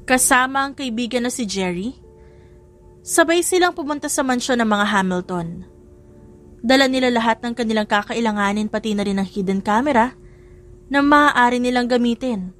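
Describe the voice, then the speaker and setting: Woman doing audiobook love story narration, highish voice, music behind her. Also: normal speed, long pauses, fairly bright tone. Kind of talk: scripted dramatic story narration.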